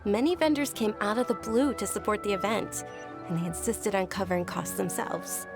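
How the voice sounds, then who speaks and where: A woman voicing a gentle, gracious young noblewoman in a video game, polite and softly explanatory. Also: medium pitch, normal speed, close to an everyday voice. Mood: cheerful, grateful. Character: gentle, gracious young noblewoman, frail yet cheerful and devout. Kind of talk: video game character acting. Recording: music behind the voice.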